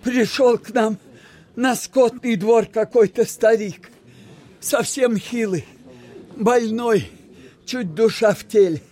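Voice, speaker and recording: A woman's voice: a little high, takes it slowly, pauses often, warm tone. A woman playing an anxious, plain-spoken peasant servant in a radio play, with deferential, folksy rustic speech. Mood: alarmed.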